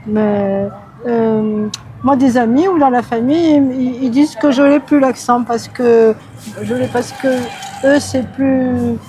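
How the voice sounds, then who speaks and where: A woman with a southern French accent chats casually in a street interview. Her voice is fairly high, with faint noise in the background. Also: slow, dark tone. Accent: southern French (Midi). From southern France. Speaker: passer-by being interviewed. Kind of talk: casual chat.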